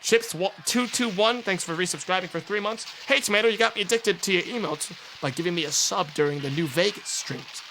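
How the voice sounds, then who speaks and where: A young man streaming live, his voice hoarse and raspy. It sits a little high and has a bright, forward edge. He talks to chat in a loose, rambling way and lets sentences trail off. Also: brisk, few pauses, a little hiss or hum, poor audio. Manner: rambling, offhand, trails into mumbles. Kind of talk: casual live-stream chat, thanking subscribers.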